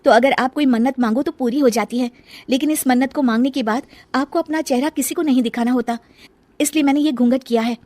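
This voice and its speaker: Woman acting young snake-woman bride, high voice, meek, apologetic, anxious, cartoon storytelling, dry sound. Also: fast talker, darkish tone, dry recording. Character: anxious young shape-shifting serpent daughter-in-law, sweet and meek. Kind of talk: Hindi cartoon moral story.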